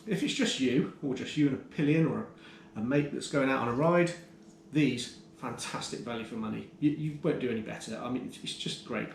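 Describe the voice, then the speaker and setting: A British man giving a casual, enthusiastic, explanatory product review to camera as a motorcycle vlogger. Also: mid-range voice. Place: indoors, in a kitchen. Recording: roomy echo, faint background noise.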